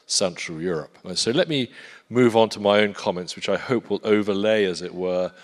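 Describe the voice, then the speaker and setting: A man in a reverberant hall: Polish speaker, English accent, deep voice, halting panel talk. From Poland. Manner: halting, repeats words while searching for phrasing. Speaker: forum panelist. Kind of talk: conference talk. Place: conference hall.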